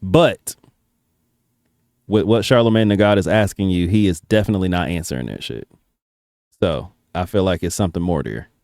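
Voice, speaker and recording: A man's voice: low voice, normal speed, long pauses, darkish tone, dry recording, clean studio sound. A man hosting a reaction video, commenting casually with slang and casual swearing.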